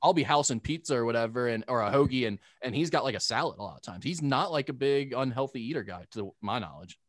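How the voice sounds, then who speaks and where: A man's voice: medium pitch, expressive, quick pace, dry recording. A man who calls games, guesting on a casual podcast, talking in a rambling, self-deprecating way. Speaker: sports broadcaster guest.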